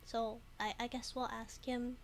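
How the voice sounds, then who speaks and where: A woman's voice: fairly high pitch, flat delivery, measured pace, a little hiss or hum. A woman commenting casually on a video game, giggling often and hesitating a lot. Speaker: game streamer. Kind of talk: casual let's play commentary.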